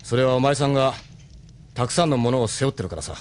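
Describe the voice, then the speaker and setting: A man playing a gruff, steadfast ship captain and mentor in a Japanese audio drama, speaking bluntly and urgently. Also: medium pitch, lively intonation, fast, a little hiss or hum, lightly acted. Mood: earnest, encouraging.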